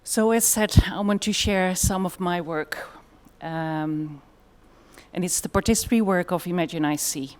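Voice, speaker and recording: A woman's voice: on the low side, moderate pace. A woman with a Dutch accent giving a conference presentation in a thoughtful way, with frequent fillers. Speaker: conference speaker.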